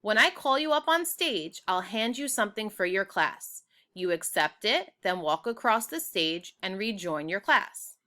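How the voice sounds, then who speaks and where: Woman acting a bright-voiced cheerful school principal. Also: medium pitch, big pitch swings, measured pace. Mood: instructing. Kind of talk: children's storybook read aloud.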